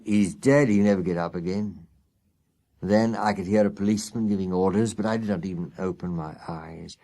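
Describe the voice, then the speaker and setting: A man's voice: low voice, darkish tone. A man reading a children's story aloud as a dramatic first-person narrator. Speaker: audiobook storyteller.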